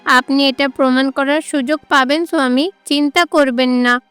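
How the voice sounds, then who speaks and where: A woman's voice: sits high, steady tone, moderate pace, a bit bright, no echo, soft background music. A woman voicing a small, loyal cartoon mouse who pleads and consoles with reverence. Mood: concerned, consoling. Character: small devoted mouse, loyal servant and mount of a god.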